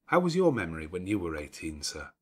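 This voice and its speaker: A man voicing a composed, frank young governess in an audiobook of a classic novel, measured and quietly defiant. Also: low voice, wildly swooping pitch, husky, pitched below the performer's own voice, exaggerated voice. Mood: quietly firm.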